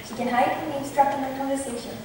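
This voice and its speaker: A woman's voice: high voice, steady tone, rapid-fire, a little mellow, close to an everyday voice. A woman in a student drama club playing a scheming young noblewoman and bride-to-be on stage. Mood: commanding, scheming. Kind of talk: amateur stage acting. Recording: very echoey hall, distant mic, faint hiss.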